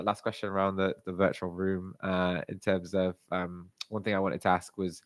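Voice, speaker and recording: A man with a very deep voice hosts a live careers webinar. He is friendly and a little rambling, restarting phrases as he steers the session. The recording is dry and close. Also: no room sound. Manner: friendly, hedging, repeats words. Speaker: webinar host. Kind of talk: hosting a live online session.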